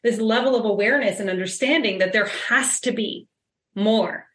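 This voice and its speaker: A woman's voice: mid-range voice, normal speed. Casual lady podcast host, informal and emphatic, bit of room echo on her voice. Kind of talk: casual conversational interview. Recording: roomy, echoing voice recording.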